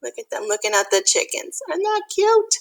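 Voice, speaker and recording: A woman reading a children's picture book aloud in an animated, sing-song way, with chatty asides to young listeners like an elementary school teacher. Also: high voice, fairly quick.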